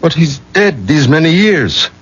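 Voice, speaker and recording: A man's voice: fairly high pitch, sing-song, measured pace, fairly bright tone, breathy edge, exaggerated voice. An actor playing an anxious, submissive father who speaks humbly and pleadingly in a radio drama. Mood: distressed. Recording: dull, lo-fi radio recording.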